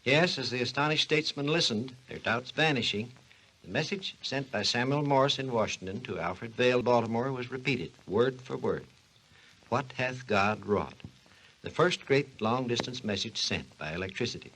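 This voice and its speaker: An authoritative doctor narrates in 1940s radio style. The voice is husky and mid-pitched, with sweeping intonation and a formal, instructive manner. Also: male voice, lively intonation, moderate pace, sharp tone, exaggerated voice, low-quality recording. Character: authoritative male doctor explaining history and science. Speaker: narrator and expert.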